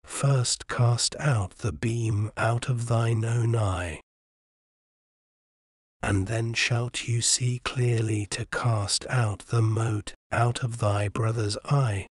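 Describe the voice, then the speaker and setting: A man reading scripture aloud in a measured, soothing bedtime manner, with long pauses between phrases. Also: medium pitch, steady tone, dry recording, studio quality. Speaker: scripture reader. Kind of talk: slow bedtime Bible reading.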